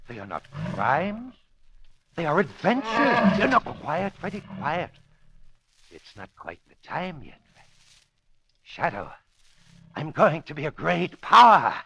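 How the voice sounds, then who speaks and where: Megalomaniac radio-drama villain, high creaky voice, slow gleeful menace, theatrical, old recording with faint noise. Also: male voice, wildly swooping pitch, heavily acted. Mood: gloating menace. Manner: theatrical, menacing, gleeful, swings between hushed and frenzied. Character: gloating, unhinged villain, megalomaniac animal trainer. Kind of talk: old-time radio crime drama acting.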